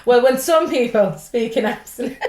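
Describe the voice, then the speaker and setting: A woman's voice: on the high side, moderate pace, darkish tone, roomy sound, rough recording. An Irish woman who is a stand-up comedian, chatting casually on a podcast, swearing freely and rambling from joke to joke. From Ireland.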